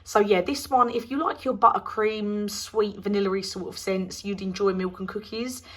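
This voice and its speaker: A woman from the UK with a British accent, chatting casually through a product review, her voice slightly croaky after laryngitis. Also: mid-range voice, steady tone, normal speed. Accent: British English. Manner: chatty, rambling with many ums. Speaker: candle reviewer.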